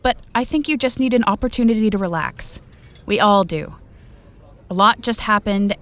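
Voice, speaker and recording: A woman's voice: medium pitch, natural voice. Woman acting as young female trucker, weary, caring, hesitant, reassuring, audio drama.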